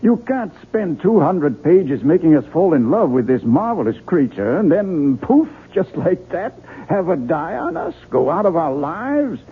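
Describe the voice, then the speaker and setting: An actor playing a persuasive, avuncular book publisher in a 1970s radio drama, raspy and theatrical, coaxing with emphasis. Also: male voice, on the high side, sing-song, measured pace, few pauses, full character voice. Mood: emphatic, pleading. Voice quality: raspy, gravelly. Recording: muffled, narrow-band vintage radio recording.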